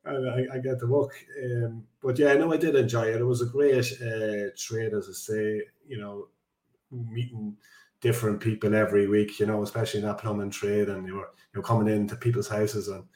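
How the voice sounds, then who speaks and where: A man's voice: lowish voice, flat delivery, brisk, darkish tone. An Irish man in his forties, a podcast guest telling his story in a chatty, rambling way with many fillers and restarts. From Ireland. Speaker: guest, construction business director. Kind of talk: casual podcast interview. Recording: echoey room.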